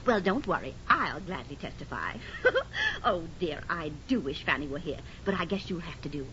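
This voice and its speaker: A woman's voice: sing-song, moderate pace, fairly bright tone, a little hiss or hum. An actress playing a gossipy, teasing middle-aged neighbor woman in a 1940s radio comedy, theatrical and giggly in her exclaiming. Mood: teasing, amused. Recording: muffled, rumbling 1940s radio recording.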